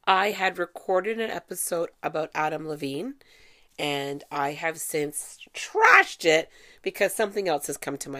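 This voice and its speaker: Brief: low-pitched woman host, nasal and congested, blunt, swears freely. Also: fairly bright tone. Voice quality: nasal from allergies. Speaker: podcast host. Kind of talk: casual solo podcast chat.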